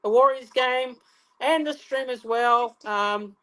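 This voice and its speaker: A woman hosting a live fan watch-along of a rugby league match, hoarse from shouting and out of breath, talking in excited bursts. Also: high voice, slow pace, rough recording. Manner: excitable, exclaiming. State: hoarse, lost voice. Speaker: fan channel host.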